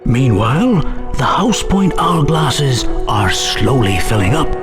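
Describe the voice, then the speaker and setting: An actor playing a dramatic announcer of a school contest, narrating theatrically in a video game. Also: male voice, mid-range voice, big pitch swings, takes it slowly. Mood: suspenseful. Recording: loud music under the voice.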